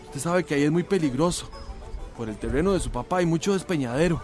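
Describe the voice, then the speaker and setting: An actor playing a frightened rural villager in a radio drama, urgent and colloquial. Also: male voice, fairly high pitch, expressive, fast, darkish tone, soft background music, lightly acted. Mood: shaken, alarmed. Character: frightened young rural villager bringing alarming news.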